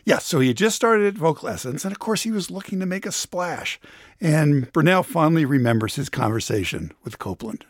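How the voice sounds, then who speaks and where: A man's voice: on the high side, expressive, fairly quick, no echo, studio quality. A man presenting a radio feature as a reporter, in a conversational, emphatic, explanatory way.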